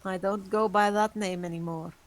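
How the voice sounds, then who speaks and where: An ancient vampire woman, voiced by a woman, calm and formal. She stays composed and measured, even under threat, in a mid-pitched, steady voice. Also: dry recording, natural voice. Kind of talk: amateur online table read.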